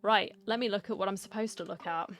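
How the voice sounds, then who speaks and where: A woman streamer commenting casually on a game. Her mid-range voice moves between informal asides and reading on-screen text, with soft music behind her. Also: medium pitch, quick pace. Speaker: gaming streamer.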